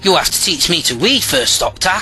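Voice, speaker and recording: A woman's voice: low voice, very animated, fairly quick, sharp tone, soft background music, full character voice. A woman playing a naive, curious young companion from an earlier era, full of questions in an audio drama. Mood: curious, puzzled.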